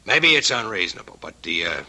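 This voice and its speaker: A man's voice: low-pitched, big pitch swings, fast talker, bright, forceful tone, heavily acted. An actor playing an earnest, anxious man protecting the woman he loves, with urgent old-time radio drama delivery. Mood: nervous, pleading.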